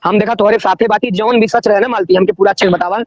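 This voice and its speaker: A man's voice: high voice, steady tone, quick pace, over a noisy crowd. A man with a Bhojpuri accent talking casually on a recorded phone call. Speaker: person taking a phone call, offering support.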